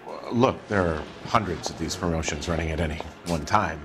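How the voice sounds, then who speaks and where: A guarded businessman in a detective game. His voice is low, with a weary, matter-of-fact delivery. Music runs beneath his lines. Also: fairly quick. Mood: guarded, dismissive. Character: guarded, world-weary male promotions businessman. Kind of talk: video game cutscene acting. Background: background music.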